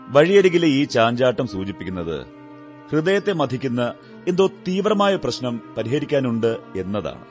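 Radio drama detective, male actor: self-assured, analytical, deliberate and courteous, highly varied intonation, music underneath. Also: lightly acted. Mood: musing, confident. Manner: deliberate, deductive, theatrical delivery.

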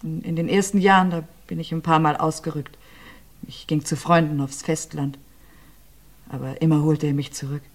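A low-voiced, hushed widow. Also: female voice, fairly even intonation. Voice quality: low, subdued, often drops to a near whisper. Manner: hesitant, halting answers. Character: abused widow questioned about her husband's death. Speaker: widow under questioning. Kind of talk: radio crime play.

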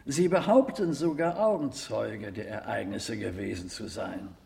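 An actor playing a stern but courteous committee president, questioning with formal authority in a radio drama. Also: male voice, lowish voice, measured pace, vocal fry, warm tone. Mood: courteous, probing. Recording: hall with long reverb.

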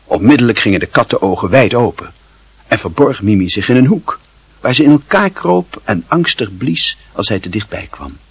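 A male narrator reads a literary story with drama. His voice is lowish and very husky, and it moves through a wide, animated range of pitch. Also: moderate pace, no room sound.

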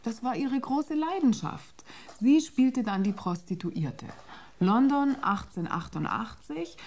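An actress playing an earnest, sharp-witted programmer on the run in a radio drama. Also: female voice, on the high side, expressive, a little slow. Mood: intense recounting.

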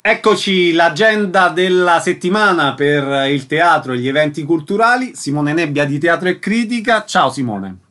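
A man hosts a radio show in a slightly high voice. Music plays faintly behind him as he presents. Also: lively intonation, normal speed, hardly any pauses, bright, forceful tone. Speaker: radio host.

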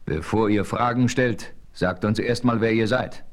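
A man playing a frontiersman hero who narrates his adventure in a measured, authoritative way in a German radio play. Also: lowish voice, lightly acted. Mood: firm.

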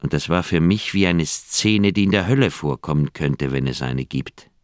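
An actor playing an older, thoughtful doctor, recounting memories in a measured way in a radio play. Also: male voice, rumbling low, lively intonation, no echo, studio quality. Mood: troubled, candid. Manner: measured, deliberate storytelling. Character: older male Swiss doctor, thoughtful, candid old friend. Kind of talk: radio play dialogue, reminiscing narration.

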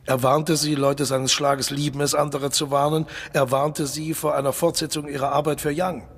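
An actor playing a sly spy colonel in a radio drama, husky and mock-polite as he makes measured threats. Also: on the high side, little pitch movement. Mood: mock-regretful menace.

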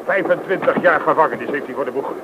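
An agitated man acted by a male performer argues emphatically in a radio play. His voice sits a little high, on a dull recording with loud noise behind it. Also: fast talker, close to an everyday voice. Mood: indignant.

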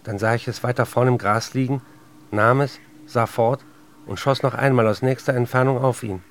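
A man playing a tormented, intense writer who confesses and narrates in measured, intimate speech in a radio play. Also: medium pitch, steady tone, a little hiss or hum, close to an everyday voice. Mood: haunted recollection.

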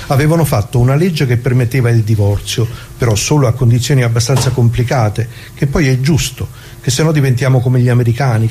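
Casting: a man as a wry, indignant grandpa storyteller, mid-pitched and mellow, colloquial radio theatre, humming noisy recording. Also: lightly acted. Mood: wry reminiscing. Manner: folksy, rambling, full of asides and rhetorical questions. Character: talkative working-class grandfather reminiscing, indignant, wry, old leftist.

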